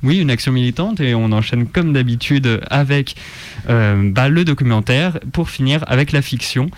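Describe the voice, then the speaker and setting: A man with an average-pitched voice speaks as a radio co-host in a dry studio. His delivery is that of a written opinion column, engaged and sardonic. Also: animated, normal speed, dry recording.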